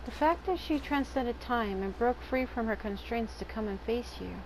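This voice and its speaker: A woman's voice: a little high, moderate pace. A woman giving casual commentary as she plays a game. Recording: clear background noise.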